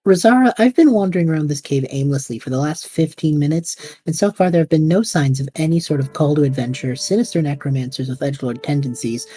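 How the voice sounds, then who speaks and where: Dramatic rambling young traveler, woman actor, husky low voice, faint music behind. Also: warm tone. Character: bored restless young male time traveler. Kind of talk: audio drama acting.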